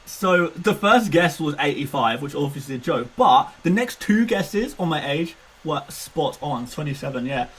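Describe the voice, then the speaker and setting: A man's voice: fairly high pitch, lively intonation, moderate pace, hardly any pauses, a bit bright, slightly rough. A man in his twenties chatting casually on a live stream, self-mocking and laughing often. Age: 20s. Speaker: fantasy football streamer.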